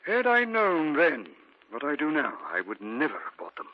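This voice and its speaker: Grave husky uncle, ominous, dull old radio sound. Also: male voice, fairly high pitch, huge pitch swings, normal speed. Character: grave older uncle Harold, ominous and urgent.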